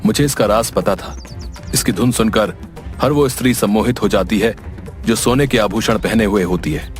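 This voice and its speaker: A man's voice: lowish voice, moderate pace, lightly acted. A man playing a fake holy man, a thief confessing in a story. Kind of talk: dramatized story acting. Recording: music under the voice.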